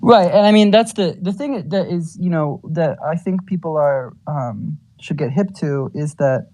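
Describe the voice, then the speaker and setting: A man in a casual online group chat, talking in a halting way with many "um"s and "you know"s. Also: fairly high pitch, a little mellow, slight background noise. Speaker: participant. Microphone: online audio chat.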